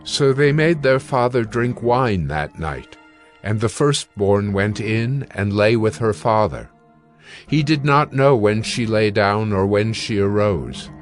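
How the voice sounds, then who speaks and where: Low-pitched, measured male scripture narrator. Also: expressive, soft background music.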